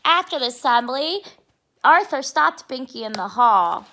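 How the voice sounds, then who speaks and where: A woman giving an expressive read-aloud of a storybook for preschoolers, explaining simply to young listeners. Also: high-pitched, measured pace, slight background noise.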